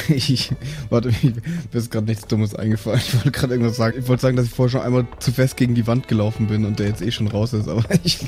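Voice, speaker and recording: A man's voice: mid-range voice, fairly even intonation, quick pace, a little mellow, soft background music. A man improvising casual, flippant banter as a player in a tabletop game. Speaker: player in a tabletop role-playing podcast.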